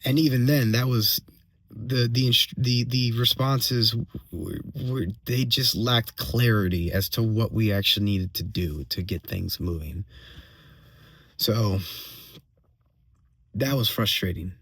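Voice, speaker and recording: A man with a slightly hoarse voice giving a casual personal update as a vlogger, venting in a halting way, repeating phrases and checking in with tag questions. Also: on the low side, a bit bright, no room sound. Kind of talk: casual solo monologue, venting.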